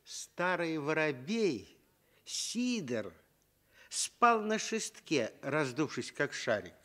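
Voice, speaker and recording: A man narrating a scripted fairy tale as an expressive storyteller. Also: a little high, slightly rough.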